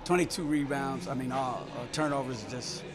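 Candid older coach at a post-game presser. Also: male voice, mid-range voice, music in the background. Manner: candid, halting, many restarts and fillers. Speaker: basketball head coach. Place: small locker room indoors. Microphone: table microphone in front.

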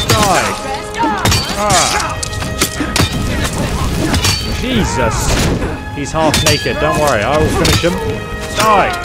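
A man commentating his own fantasy game playthrough, excitable and exclaiming through the fights. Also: on the high side. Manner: excitable, exclaiming and role-playing in combat. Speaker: gaming YouTuber. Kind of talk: let's-play commentary. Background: loud game music.

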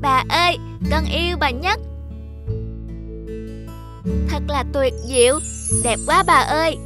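A woman voicing a lively, eager little village boy in a cartoon, excitable and loud. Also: high voice, normal speed, over music, close to an everyday voice. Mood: affectionate. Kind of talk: dubbed cartoon acting.

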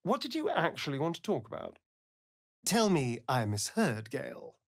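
A man's voice: on the high side, huge pitch swings, moderate pace, no echo, clean studio sound, heavily acted. A male actor playing a sardonic, flirtatious vampire rogue in a video game, with an arch, mocking, theatrical drawl. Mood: incredulous. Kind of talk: video game character voice lines.

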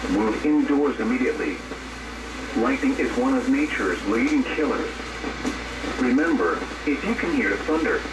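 A man delivers formal emergency weather alerts over a weather radio. His delivery is impersonal and scripted. The playback sounds roomy and off in the distance, under heavy noise. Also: mid-range voice. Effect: weather radio broadcast speaker. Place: played from radios in a bedroom.

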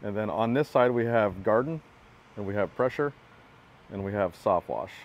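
Lower-voiced man touring a work truck outdoors. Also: lively intonation, moderate pace. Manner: plainspoken, matter-of-fact, pointing things out. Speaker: equipment builder giving a walkaround. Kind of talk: casual product walkthrough. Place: outdoors by a truck in a parking lot.

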